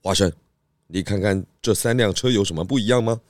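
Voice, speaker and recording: Guy doing calm chunky pig detective, lowish voice, dry sound, good humored kids story. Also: brisk, no room sound, natural voice. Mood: composed. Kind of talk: children's audio detective drama.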